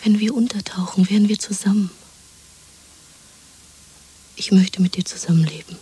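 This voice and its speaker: An actress playing a tender, dreamy young woman lover in a 1970s German radio drama, speaking in an intimate, coaxing, hushed whisper. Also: mid-range voice, fairly even intonation, moderate pace. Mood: urgent longing. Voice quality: breathy, whispering.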